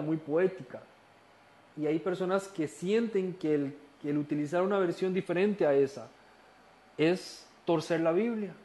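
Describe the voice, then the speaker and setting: Costa Rican man teaching a Bible lesson. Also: a little high. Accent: Costa Rican Spanish. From Costa Rica. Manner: calm explaining, rhetorical questions, occasional restarts. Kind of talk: recorded course lesson.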